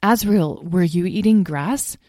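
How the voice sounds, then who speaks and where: A woman voicing a caring mother dragon in a children's story, worried and motherly, gentle but firm. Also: lowish voice, a little slow, no room sound, studio quality, close to an everyday voice.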